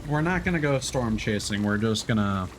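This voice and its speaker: Man, streamer, low-pitched voice, casual tips and fillers, clear background hiss. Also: lively intonation, moderate pace. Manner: chatty, explaining tips with fillers. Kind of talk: casual gameplay commentary.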